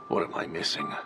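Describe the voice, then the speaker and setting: A man playing a late-night obsessed doctor. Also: lightly acted. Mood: brooding. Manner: muttering, then bursting into excited shouts. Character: obsessed male doctor investigating an old murder. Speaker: actor playing the investigating doctor. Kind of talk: radio drama acting.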